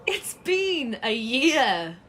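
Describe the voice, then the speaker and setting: An actress in an audio drama playing a troubled, defensive woman who deflects and speaks haltingly. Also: a little high, big pitch swings, a bit bright, heavily acted. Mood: exasperated. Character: troubled woman resisting thoughts of her ex. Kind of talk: audio drama acting, poetic dialogue.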